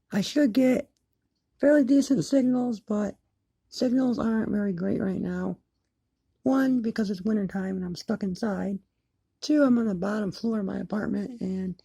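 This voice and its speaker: A woman with a low-lit, mellow voice and a lot of fry in it talks casually to her viewers. She is plain-spoken and halting, often circling back over what she said. The sound is close and dry. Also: no room sound, lo-fi sound. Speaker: hobbyist video maker. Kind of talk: casual chat to camera. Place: indoors in an apartment, by a window.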